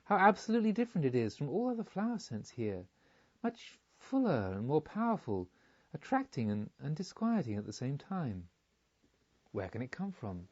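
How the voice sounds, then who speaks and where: A man's voice: on the high side, wildly swooping pitch, moderate pace, a little mellow, dull audio. A man voicing an earnest, pensive pilgrim newly reborn and full of wonder, in a storybook reading. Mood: puzzled, wondering. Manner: musing, questioning, earnest. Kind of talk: audiobook character reading.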